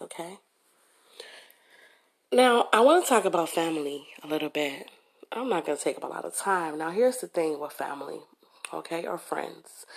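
A hoarse woman hosting a motivational podcast, talking to listeners in a conversational way and often checking in with "okay?" and "you know". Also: low voice. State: hoarse from a cold. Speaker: podcast host. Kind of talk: motivational monologue.

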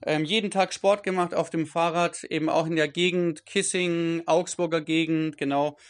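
A man's voice: fairly high pitch, steady tone, normal speed. A German man in his thirties, interviewed as a guest over a video call, talking in a rambling way and often correcting himself. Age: 30s. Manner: rambling, self-correcting, frequent fillers. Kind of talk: conversational interview.

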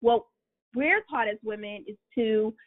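A woman's voice: on the high side, a little slow, phone-quality sound. A woman hosting a conversational interview in an encouraging, affirming way. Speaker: interviewer, host.

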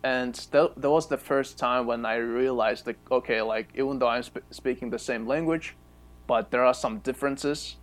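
A man from Kyrgyzstan, a native Kyrgyz speaker, co-hosting a podcast and explaining things at length with thoughtful pauses. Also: mid-range voice. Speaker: podcast co-host. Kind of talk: casual chat.

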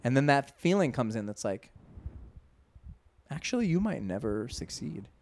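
A man's voice: mid-range voice, moderate pace, vocal fry. A man co-hosting a casual podcast chat, speaking candidly and animatedly. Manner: candid, animated, slips into quoted voices. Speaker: podcast co-host.

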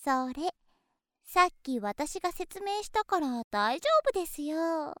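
A sweet young nurse for an anime-style audio drama, with a tiny, very high girlish voice. She speaks slowly, in a cutesy, affectionate and clingy way. The recording is close and completely dry. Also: lively intonation, a bit bright, no echo, heavily acted. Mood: playful, mildly defensive. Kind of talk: Japanese anime-style situation voice drama acting.

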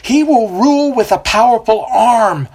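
A storyteller voicing a fiery male desert prophet with a booming, coarse voice who preaches loudly to a crowd. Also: expressive, measured pace, husky. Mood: proclaiming.

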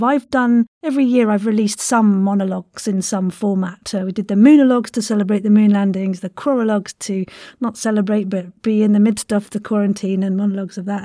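A woman's voice: medium pitch, warm tone, dry recording, clean studio sound. A woman hosting a podcast interview in a casual, effusive and encouraging way. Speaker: podcast host, voice acting teacher.

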